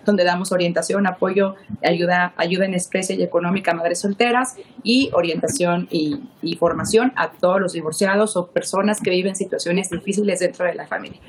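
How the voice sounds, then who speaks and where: A woman's voice: fairly low pitch, steady tone, fairly quick, rough recording. Female panelist, 30s, Mexican accent, emphatic, faint background noise. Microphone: video call.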